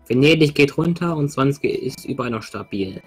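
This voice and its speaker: A man with a husky voice chatting in a laid-back way during a game session. He thinks aloud about his moves at a middle pitch. Faint music runs behind him. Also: lively intonation, a little fast, a little mellow. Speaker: let's play co-host. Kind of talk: casual gaming chat.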